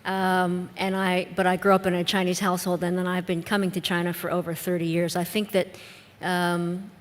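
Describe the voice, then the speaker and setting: A Midwestern American woman speaking on a panel, her voice sitting fairly low. She answers in a measured, reflective way and often restarts her sentences. The sound carries the long reverb of a big conference hall. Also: level tone. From United States. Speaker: educator on a panel.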